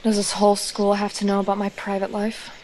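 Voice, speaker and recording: A woman's voice: medium pitch, completely flat delivery, brisk, a crowd in the background, close to an everyday voice, rough recording. A woman playing a reserved, awkward, self-conscious teenage girl in an audio drama. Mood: guarded.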